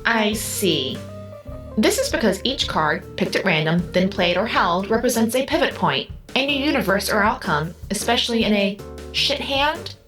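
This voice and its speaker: A woman acts as an otherworldly female being, curious and coolly analytical. She speaks at a middling pitch in precise, formal sentences, taking everything literally, in audio drama style with music behind her. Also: lively intonation, moderate pace, lightly acted.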